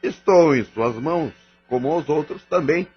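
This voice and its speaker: A man's voice: on the high side, animated, moderate pace, close to an everyday voice. An actor playing a proud, cunning malevolent male spirit, a gang chief, defiant and boastful in an audio drama. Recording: muffled lo-fi audio drama recording. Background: background music.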